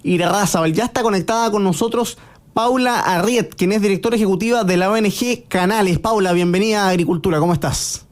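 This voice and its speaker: A man's voice: sits high, a little fast. A man with a Chilean Spanish accent hosting a radio interview, asking questions in bursts and rephrasing them as he goes. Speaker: radio host.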